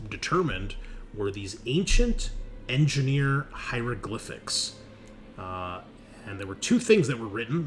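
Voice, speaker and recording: Low-voiced man recapping a tabletop game. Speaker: game master recapping a session. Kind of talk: unscripted narrated recap of a tabletop horror game. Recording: clear background noise.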